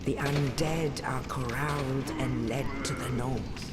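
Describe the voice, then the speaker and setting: An actress playing a solemn narrator of a dark fantasy game legend, speaking gravely and deliberately in noisy game audio. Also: female voice, a bass voice, fairly even intonation. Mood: solemn, ominous. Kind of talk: scripted cinematic narration.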